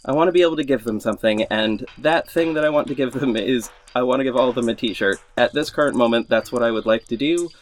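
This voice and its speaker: A man hosting a podcast gives a casual, unscripted update to his listeners in an enthusiastic, informal, somewhat rambling and halting way. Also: mid-range voice. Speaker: podcast host and creator. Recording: music under the voice. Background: music.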